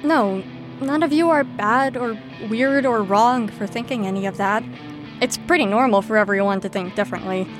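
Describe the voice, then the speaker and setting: Sardonic young female lesson leader played by a woman, audio drama, higher voice over music, weary and lecturing. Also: normal speed, lightly acted. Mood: dry, lecturing.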